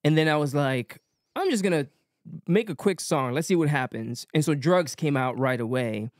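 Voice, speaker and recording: A young man talking casually as a musician guest on a podcast, relaxed, with lots of fillers. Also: fairly high pitch, moderate pace, no room sound, clean studio sound. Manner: relaxed, many fillers, light-voiced.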